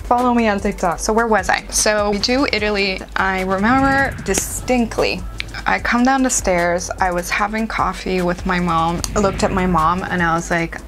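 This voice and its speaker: A woman of around thirty, talking fast and rambling through a makeup vlog. She is recorded close to a microphone at home. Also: medium pitch, fairly bright tone, soft background music. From born in Hungary, raised in England. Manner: quick, rambling, self-deprecating asides. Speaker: beauty vlogger. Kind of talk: chatty get-ready-with-me monologue. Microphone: microphone close to her.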